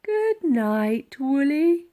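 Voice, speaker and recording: A woman's voice: high voice, big pitch swings, very slow, dark tone, voice pushed up, heavily acted. A woman voicing a brave, tender little girl who turns defiant when cornered, in a children's storybook. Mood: tender, soothing.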